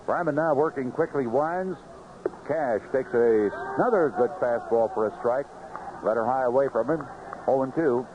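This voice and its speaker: An American man calling baseball play-by-play live on the radio in clipped phrases, in an animated manner. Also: mid-range voice, normal speed. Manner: animated calls rising sharply on big plays, clipped phrases. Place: ballpark broadcast booth. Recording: noisy, muffled vintage AM radio broadcast.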